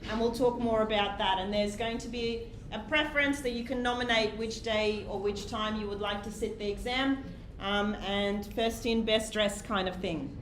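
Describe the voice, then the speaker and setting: A woman lecturing a large university class in a lecture hall. She speaks loudly and projects, chatty and self-deprecating, with many "um"s, sometimes drifting off the microphone. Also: medium pitch, fairly even intonation, normal speed, hardly any pauses, a little hiss or hum. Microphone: lecture microphone, sometimes off-mic while walking.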